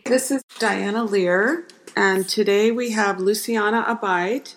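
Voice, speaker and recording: A woman's voice: medium pitch, measured pace, reverberant. A woman hosting a radio interview, asking loose, casual questions with fillers.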